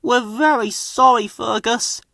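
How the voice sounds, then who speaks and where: Bill and Ben, squeaky cheeky engines. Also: male voice, a little slow, vocal fry, bright, forceful tone, no room sound, clean studio sound, exaggerated voice. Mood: sorry. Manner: mocking, rude, whining.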